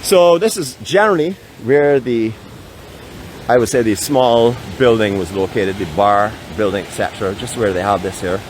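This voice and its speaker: A man's voice: on the low side, moderate pace, rough recording. Chatty Barbadian man on a street walk. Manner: chatty, joking self-mockery. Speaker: vlog host giving a live walking tour. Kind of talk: live walking-tour vlog narration. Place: outdoors by a beach boardwalk with traffic. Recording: outdoor street noise behind.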